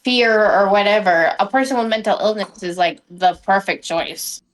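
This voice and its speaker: A woman's voice: medium pitch, moderate pace, poor audio. A woman chatting and debating informally and bluntly as a player at a tabletop game. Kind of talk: casual chat and debate.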